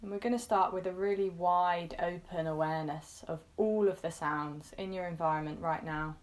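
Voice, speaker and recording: A woman leading a guided meditation in a gentle, reassuring manner, speaking in short phrases with pauses between them. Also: lowish voice, steady tone.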